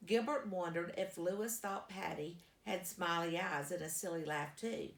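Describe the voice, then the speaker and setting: Female children's storyteller, lowish voice, expressive and deliberate narration, roomy echo. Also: creaky. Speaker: storyteller reading a children's book aloud. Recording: echoey, roomy sound.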